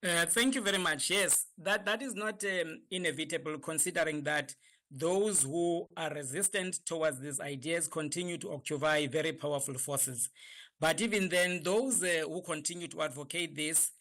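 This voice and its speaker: A man, a professor guest on a radio talk show, speaking in a measured way with frequent hesitations. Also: on the high side, pushed and bright. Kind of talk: radio discussion.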